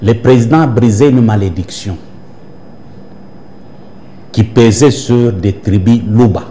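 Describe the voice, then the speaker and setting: A man's voice: on the low side, unhurried, mellow. A man, a church prophet answering interview questions with an emphatic, preaching cadence. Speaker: prophet and church leader, guest.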